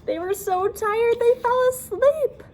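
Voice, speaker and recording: A woman reading a picture book aloud to young children in an expressive, deliberate, animated way. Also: extremely high pitch. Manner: animated, deliberate, addresses listeners with questions. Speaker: children's storybook reader.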